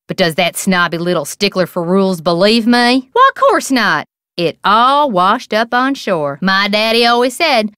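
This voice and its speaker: A small-town bait shop keeper in a video game. She has a rural American accent and a smooth, clear voice, recorded dry. Her delivery is folksy and gossipy, full of wry, opinionated drawl. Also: mid-range voice, a bit bright, no echo. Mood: scornful, then bargaining, folksy. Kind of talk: video game character dialogue.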